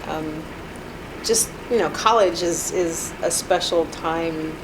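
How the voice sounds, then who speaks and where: A woman in her thirties answers interview questions in her apartment, her voice low and unhurried. She hesitates often, circling back with fillers and restarts, and an air-conditioner hum sits clearly under her speech. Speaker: alumna being interviewed. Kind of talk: reflective interview.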